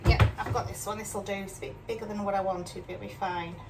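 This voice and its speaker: A woman's voice: mid-range voice, steady tone, fast. An older woman from Yorkshire with a mixed northern English accent, chatting casually and self-deprecatingly while she cooks in her home kitchen, often tagging her sentences with questions. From Yorkshire, England. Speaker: cooking vlogger. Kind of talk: casual chatty cooking demonstration. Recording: distant mic with clear background noise.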